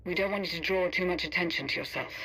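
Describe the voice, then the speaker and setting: An actor voicing a businesslike operative in a video game, giving brisk instructions as a remote guide. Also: male voice, sits high, fairly even intonation, pushed and bright, husky, exaggerated voice, low-quality recording. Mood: focused, instructive. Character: businesslike male operative guiding an agent remotely.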